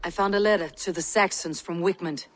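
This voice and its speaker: An actress playing a probing, reserved Viking warrior investigator in a video game cutscene. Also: female voice, fairly low pitch, fairly even intonation, fairly quick, natural voice. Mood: grave, questioning.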